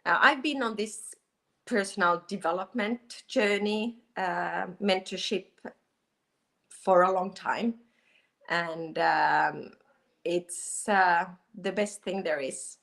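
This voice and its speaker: A woman from Finland introducing herself as a workshop guest on a video call, pausing often with fillers. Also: on the low side, vocal fry, fairly bright tone. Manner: hesitant, many fillers. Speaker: guest speaker. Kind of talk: self-introduction.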